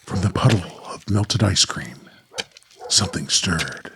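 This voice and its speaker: A man's voice: low voice, animated, unhurried, background noise. A man hosting a show, narrating a radio play and talking casually. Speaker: host and narrator.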